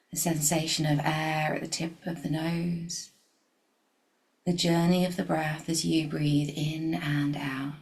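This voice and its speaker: A woman guides a meditation very slowly, leaving long pauses between short phrases. Her pitch stays on the low side and quite level, with a gravelly fry in it. The delivery is soft and soothing, sometimes thinning toward a breathy whisper. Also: poor audio.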